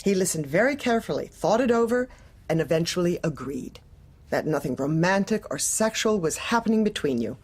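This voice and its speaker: An actress playing a manipulative office manager in a dark comedy audio drama, speaking with measured, formal, condescending politeness. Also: female voice, on the low side, lively intonation, slightly rough. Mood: brisk, controlling.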